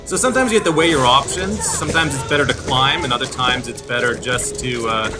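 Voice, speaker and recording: A man's voice: mid-range voice, fairly quick. A man giving explanatory video game commentary, with hesitant phrasing and repeated words. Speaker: gameplay host. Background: game music.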